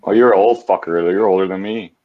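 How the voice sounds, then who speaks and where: A man's voice: sits low, quick pace, narrow, tinny audio. A man in his fifties on a casual livestream panel, blunt and profane. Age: 50s. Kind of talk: casual group chat on a livestream.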